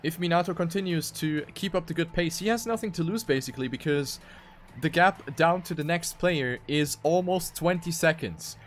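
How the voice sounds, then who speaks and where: High-pitched male esports race commentator. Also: moderate pace, a little hiss or hum. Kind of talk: live video game race commentary.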